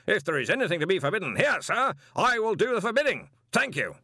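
A man voicing a blustering, hot-tempered older male wizard who heads a college, loud and imperious. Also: high voice, sing-song, quick pace, no room sound, studio quality, voice pushed up, heavily acted. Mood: furious. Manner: roaring, imperious.

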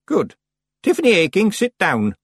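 A man as an imperious ancient witch, pitched high. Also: wildly swooping pitch, creaky, slightly rough, dry recording, studio quality, exaggerated voice. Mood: commanding. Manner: commanding, precise, unhurried. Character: imperious 113-year-old witch, stern, calm, matter-of-fact. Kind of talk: audiobook character voice.

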